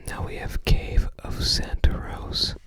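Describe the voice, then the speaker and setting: An ASMR host speaking entirely in a breathy whisper, gently musing aloud in unscripted commentary with trailing phrases. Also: takes it slowly. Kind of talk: ASMR, unscripted commentary while browsing a game guide book.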